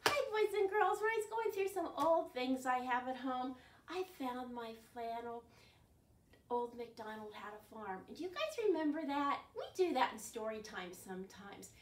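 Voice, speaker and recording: An older woman hosting storytime for young children, speaking in an animated, clearly enunciated way and asking them questions. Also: sits high, normal speed. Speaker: children's storytime host at a library. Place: living room at home. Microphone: camera a few feet away. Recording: roomy sound, distant microphone.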